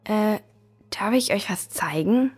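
A woman's voice: medium pitch, animated, slow, darkish tone, husky, faint music behind. A woman playing a sympathetic, slightly hesitant young girl who talks casually in a children's audio drama. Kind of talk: German children's radio play acting.

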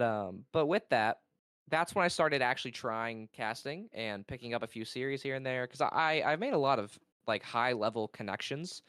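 Loud, rambling young esports caster. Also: male voice, mid-range voice, clean tone, no room sound, clean studio sound. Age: young adult. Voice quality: naturally loud voice. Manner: rambling, excitable, loses his thread. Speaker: esports caster and college player. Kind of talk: casual interview talk.

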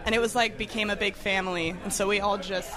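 A woman's voice: mid-range voice, steady tone, fast. Young woman, from Texas and Oregon, band singer, chatty, upbeat, crowd chatter behind. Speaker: band vocalist. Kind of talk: casual interview chat.

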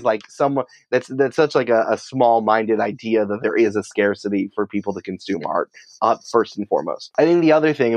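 A man's voice: medium pitch, no room sound, poor audio. A man speaking as a podcast guest in a casual, emphatic, opinionated conversational way. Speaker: podcast producer and writer, interview guest.

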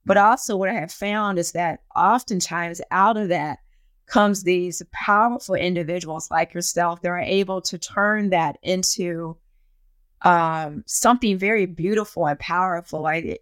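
A woman's voice: fairly low pitch, moderate pace, no room sound. A woman hosting an interview podcast in a conversational style.

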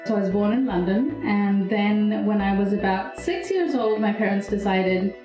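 A woman's voice: mid-range voice, warm tone, echoey room, faint music behind, low-quality recording. A middle-aged woman, a guest telling her story in a chatty interview. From Pakistan. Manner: fast, chatty, mixes Urdu and English. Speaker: guest, anthropology professor. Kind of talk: interview conversation.

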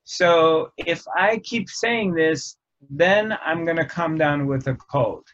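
Slow, measured man teaching spirituality. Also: fairly high pitch, a bit bright. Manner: slow, measured, pausing often between phrases. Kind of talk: reflective spiritual talk. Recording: dull lo-fi recording.

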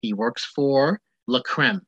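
A man's voice: medium pitch, slow, fairly bright tone, husky, no echo, dull audio. A man in his forties, a guest speaking on the phone, telling his story in a chatty, rambling way. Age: 40s. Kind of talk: casual phone interview.

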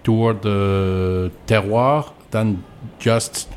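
A man with a French accent, a winemaker being interviewed, explaining things in hesitant, deliberate non-native English. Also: on the low side, fairly even intonation, slight background noise. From Alsace, France.

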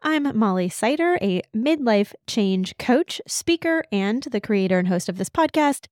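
A woman's voice: on the high side, animated, measured pace, a little mellow, dry recording. Upbeat woman podcast host, dry close mic. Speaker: podcast host and midlife change coach. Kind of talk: scripted podcast intro, then casual video-call chat.

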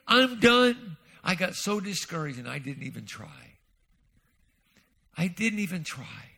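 A man preaching a storytelling sermon, his voice set a little high with a bright, forceful edge. His pitch swings widely as he moves between hushed asides and loud bursts, and a gravelly fry runs through it. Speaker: guest preacher.

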